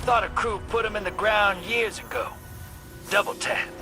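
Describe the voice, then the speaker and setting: A man's voice: sits high, lively intonation, normal speed, over music. A man playing a shady, sardonic drifter in a video game, talking in clipped, folksy, teasing slang. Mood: sly, teasing. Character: shady, streetwise, sardonic male drifter rogue.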